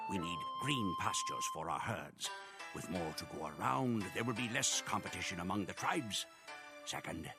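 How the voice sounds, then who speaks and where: A gravelly Mongol chronicler who recounts an epic of the steppe. He is deliberate and emphatic, with a widely swinging, theatrical delivery, over a full music bed in a game cutscene. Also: lowish voice. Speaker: campaign narrator. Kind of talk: scripted dramatic epic narration.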